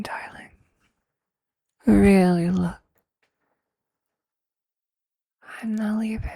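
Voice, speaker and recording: An obsessive yet tender vampire lover, performed by a man, murmurs an intimate ASMR monologue. The voice is androgynous and very soft-edged, slipping often into whispers. It is dark and mellow, stays nearly level in pitch, and sounds close and dry. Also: no room sound, full character voice. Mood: tender, coaxing. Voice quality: breathy, creaky, often whispered. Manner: slow, deliberate, hushed, seductive. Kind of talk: ASMR romantic roleplay, intimate scripted monologue.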